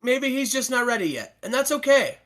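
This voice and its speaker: Very high, lively jokey man. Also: a little fast. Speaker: podcast co-host. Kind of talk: casual joking chat.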